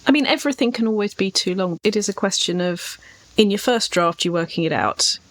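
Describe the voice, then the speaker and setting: A woman's voice: mid-range voice, lively intonation, fairly quick. A woman podcast co-host talking conversationally and articulately about writing, in a reflective way with little acted-out asides. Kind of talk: conversational literary discussion.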